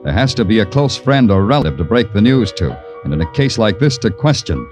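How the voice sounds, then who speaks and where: A deadpan american cop narrating old radio noir, voice rises and falls a lot, music behind. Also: male voice, lowish voice, a little fast. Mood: brooding narration. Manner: deadpan, sardonic. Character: wry, world-weary male New York police detective. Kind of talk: 1940s-50s radio crime drama acting and narration.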